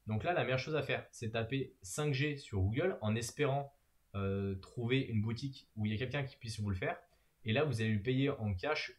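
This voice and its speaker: A man vlogging practical travel advice in a casual, explanatory way, often pausing on filler sounds. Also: mid-range voice. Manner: explanatory, many filler hesitations.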